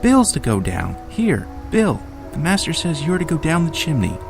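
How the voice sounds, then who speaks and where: Bickering little animal workers from a storybook voiced by a man, bustling and bossy. The pitch leaps far up and swoops, with loud music behind. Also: wildly swooping pitch, normal speed, creaky, heavily acted. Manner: bustling, shouting instructions.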